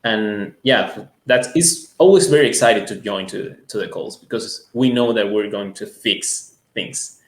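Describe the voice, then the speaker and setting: In a roomy, echoing recording, a man from Ecuador whose first language is Spanish talks conversationally as an interview guest. His voice is lowish, and he speaks earnestly in halting phrases. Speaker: music school owner, interview guest. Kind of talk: conversational testimonial.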